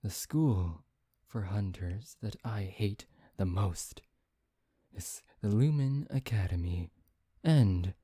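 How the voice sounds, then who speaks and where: A man playing a brooding, taunting vampire in an ASMR roleplay, speaking in a theatrical, deliberate, teasing way. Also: on the low side, mellow, slightly rough, no room sound, clean studio sound. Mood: bitter, brooding. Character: brooding, taunting male vampire bent on revenge. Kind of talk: ASMR roleplay, soft dramatic acting.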